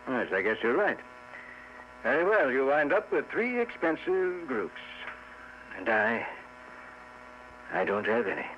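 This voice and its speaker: An actor playing a polite, mildly officious immigration inspector with formal manner in a 1950s radio drama. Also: male voice, medium pitch, sing-song. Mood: rueful. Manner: formal, measured. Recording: muffled 1950s radio recording with hum.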